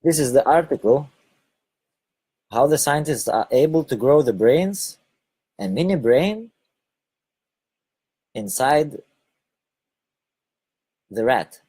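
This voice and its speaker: A man from Lithuania with a Lithuanian accent, giving a halting spoken monologue and often repeating or correcting his words. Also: medium pitch, lively intonation, dark tone, breathy edge. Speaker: online video host.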